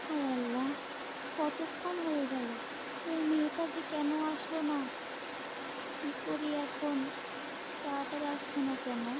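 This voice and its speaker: A woman's voice: sits high, steady tone, a little slow, long pauses, heavy background noise, voice pushed up. A woman voicing a worried, scolding village mother in a cartoon, anxious and fretting. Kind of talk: cartoon voice acting. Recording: rain sounds over voice.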